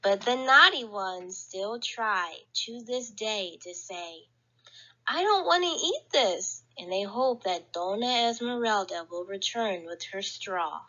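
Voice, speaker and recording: A woman's voice: medium pitch, animated, slow, bright, forceful tone, muffled recording. A woman reading a picture book aloud to children at story time, playfully acting out sounds and exclamations. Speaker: library storyteller.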